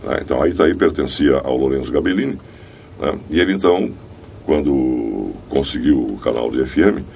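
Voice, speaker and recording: A man's voice: rumbling low, a little mellow, a little hiss or hum. An older man speaking hesitantly as an interviewee, hedging his words, recorded from some distance.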